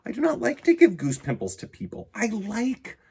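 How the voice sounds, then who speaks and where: A man voicing a gentle, kind lion who speaks mildly and earnestly in a storybook reading. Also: high-pitched, huge pitch swings, moderate pace, vocal fry, breathy edge, pitched above the performer's own voice, heavily acted.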